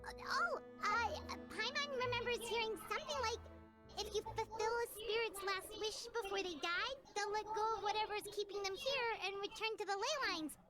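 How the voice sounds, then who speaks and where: A woman's voice: extremely high pitch, normal speed. A woman voicing a tiny, chirpy female companion creature who talks about herself in the third person. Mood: thoughtful. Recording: game cutscene audio with background music. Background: game music.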